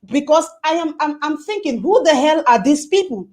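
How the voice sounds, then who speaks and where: A woman's voice: sits high, animated, moderate pace, darkish tone. A woman giving impassioned political commentary on a remote call, rallying listeners with emphatic repeated phrases. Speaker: guest commentator.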